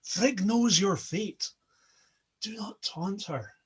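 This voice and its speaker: A man's voice: high voice, takes it slowly, slightly rough, voice pushed up, heavily acted. A man voicing an anxious pleading goddess.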